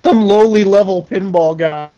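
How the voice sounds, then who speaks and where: Mellow, higher-voiced American man, muffled line. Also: normal speed. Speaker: podcast guest, pinball maker. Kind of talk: casual interview chat.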